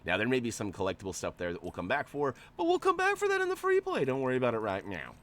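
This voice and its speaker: A man's voice: mid-range voice, huge pitch swings, quick pace, fairly bright tone, a little hiss or hum. A man with a nasal, stuffy voice from allergies, giving chatty, joking gameplay commentary as a channel host. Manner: chatty, joking, rambling asides.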